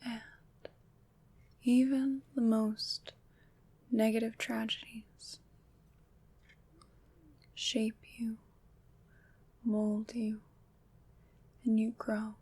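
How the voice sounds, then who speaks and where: A woman playing a gentle, consoling witch in an ASMR roleplay, whispering breathily in a slow, soothing, deliberate way. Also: fairly high pitch, level tone, slightly rough, heavily acted. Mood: tender reassurance.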